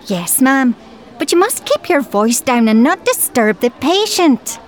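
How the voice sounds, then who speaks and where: A woman's voice: sits high, sing-song, a little slow, slight background noise. An actress playing a prim, officious female hospital nurse in a campy audio drama. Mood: firm. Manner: officious, proper. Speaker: voice actor.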